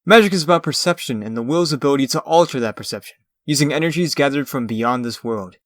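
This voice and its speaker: A man's voice: mid-range voice, expressive, fast talker, fairly bright tone, no echo, clean studio sound, natural voice. A man playing a theatrical magician and escape artist in a comic dub, lecturing in a grandiose, urbane and condescending way. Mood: grand, proud.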